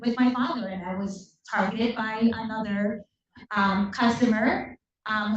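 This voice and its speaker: A woman from Puerto Rico whose first language is Spanish. She is a council member making an earnest, personal statement in a council meeting, with frequent ums. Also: medium pitch. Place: council chamber. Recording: echoey chamber, distant dull mic.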